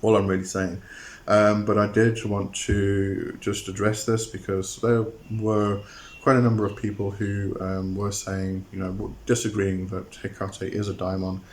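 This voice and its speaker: A man talking to his viewers in a hesitant monologue, often pausing on "um" and repeating words as he explains a term. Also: on the low side, level tone, slight background noise. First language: not a Greek speaker. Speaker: occult channel host.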